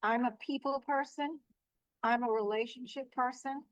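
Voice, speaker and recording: A woman's voice: high-pitched, fairly even intonation, normal speed, dry recording. A woman who is an association president and keynote speaker, talking earnestly and emphatically in a testimonial interview. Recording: dry, slightly dull recording.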